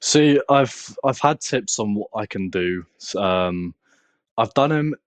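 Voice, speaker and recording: A man with a British accent chatting casually as an interview guest, plain-spoken, halting and self-deprecating. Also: lowish voice, expressive, dry recording. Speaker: interview guest, content creator. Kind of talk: casual chat.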